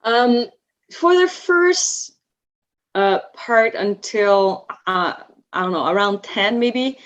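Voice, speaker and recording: A woman whose first language is Vietnamese talks as a guest in a relaxed podcast conversation. She is reflective, often circling back and restarting as she looks for her words. Her voice sits at a middle pitch and comes through a somewhat muffled, lo-fi recording. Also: slow. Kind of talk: casual conversation. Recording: slightly dull lo-fi sound.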